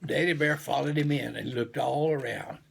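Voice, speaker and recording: A man reading a children's storybook aloud in an expressive, homely storytelling manner. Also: medium pitch, moderate pace, creaky, slightly rough. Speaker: storybook reader.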